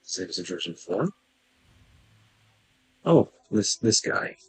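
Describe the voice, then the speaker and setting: A man giving casual gameplay commentary in a hesitant, halting, musing way. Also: sits low, sing-song, breathy edge, poor audio. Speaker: video game streamer.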